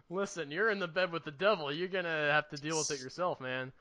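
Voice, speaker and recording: A man's voice: on the high side, brisk, a crowd in the background. A man co-hosting a podcast, giving his opinions in an informal, casual chat. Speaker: podcast co-host.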